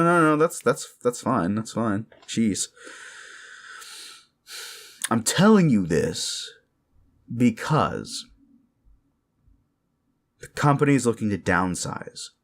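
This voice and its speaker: A man playing a sleazy, bullying werewolf office boss in an audio roleplay, condescending, cajoling and foul-mouthed as he berates the listener. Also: mid-range voice. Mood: condescending, exasperated. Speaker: voice actor performing a roleplay.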